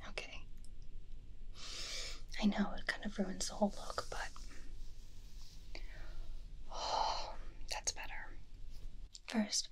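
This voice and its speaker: A young woman whispers softly and very close to a binaural microphone. She takes her time over each phrase, breathy and doting, in the style of an ASMR personal-attention roleplay. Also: medium pitch. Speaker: ASMR performer.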